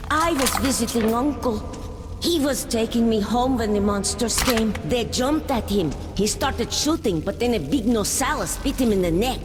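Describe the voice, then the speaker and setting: A woman voicing a plucky, naive little child in a video game, earnest and childlike. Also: a little high. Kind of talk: video game cutscene acting. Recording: long reverb with music behind.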